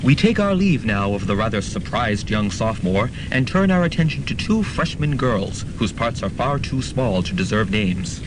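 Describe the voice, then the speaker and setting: A man playing a measured, deadpan and formal figure in a student radio comedy drama. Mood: wry, announcing. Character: story narrator doubling as politely menacing machete-wielding male psycho. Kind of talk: radio drama narration and acting. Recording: noisy student radio recording with rumble.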